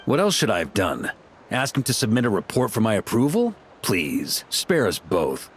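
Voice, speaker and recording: A man playing a stern but humane knight grandmaster in a video game, measured and commanding. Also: on the low side, wildly swooping pitch, soft background music. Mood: wry, reflective.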